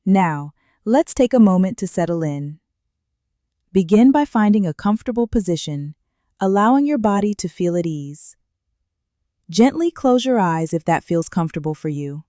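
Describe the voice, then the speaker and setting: A woman leading a guided meditation in a calm, gentle way, with slow soothing instructions and long pauses. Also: on the low side, no room sound, studio quality.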